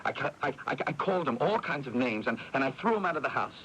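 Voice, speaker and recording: An actor playing a guilt-ridden, agitated brother in a 1980s radio drama, halting and repeating himself. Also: male voice, medium pitch, animated, a bit bright, natural voice. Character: anguished, guilt-ridden brother with a fierce temper. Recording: noisy 1980s AM radio broadcast.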